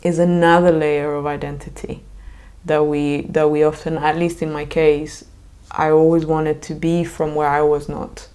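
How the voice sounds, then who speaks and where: A woman's voice: deep voice, level tone, normal speed, mellow. A woman from Colombia co-hosting a podcast, talking in a reflective, agreeable way. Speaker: podcast co-host. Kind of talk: casual chat.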